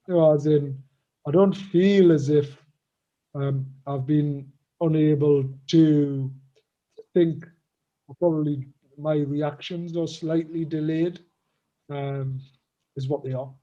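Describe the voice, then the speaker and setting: A man co-hosting a casual business chat, his voice a little croaky, speaking hesitantly with many fillers and pauses. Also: a little high, muffled recording. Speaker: co-host.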